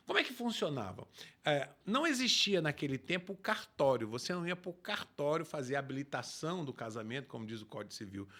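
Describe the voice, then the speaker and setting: A man, a pastor explaining scripture in an emphatic, lively talk-show style. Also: a little high, fast talker.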